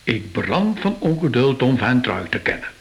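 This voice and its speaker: An actor playing an earnest, mystically minded art curator in a radio play, solemn and reflective. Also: male voice, mid-range voice, sing-song, normal speed, slight background noise. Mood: fervent, reflective. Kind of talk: Dutch-language radio play acting.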